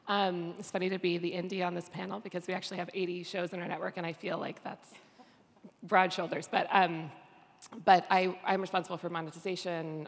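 A woman's voice: fairly low pitch, vocal fry. A woman speaks informally as an executive on a conference panel. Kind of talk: informal self-introduction on a panel. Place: on stage at a conference session. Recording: reverberant conference room sound.

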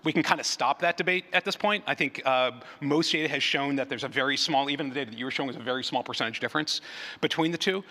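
A man's voice: medium pitch, bright, forceful tone. A man speaking as a conference presenter, explaining things in an informal, conversational way. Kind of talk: unscripted presentation and audience Q&A. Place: conference hall with audience. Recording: large reverberant hall.

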